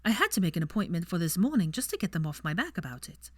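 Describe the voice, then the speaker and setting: A woman's voice: lowish voice, sing-song, fast talker, dry recording, studio quality. A woman voicing an earnest, bookish young witch in an audiobook, with an airy, polite manner. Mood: mildly exasperated. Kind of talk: audiobook character voice.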